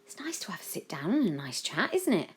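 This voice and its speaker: A woman's voice: medium pitch, sing-song, brisk, slightly rough, pitched above the performer's own voice. A woman reader voicing a chatty, homely middle-aged British mum with a folksy way of talking.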